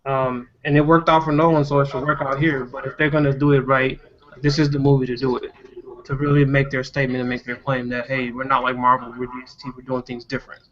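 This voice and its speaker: A man's voice: medium pitch, little pitch movement, fast talker. A man hosting a casual panel discussion, giving his opinions in a conversational way. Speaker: discussion host. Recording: dull lo-fi podcast audio.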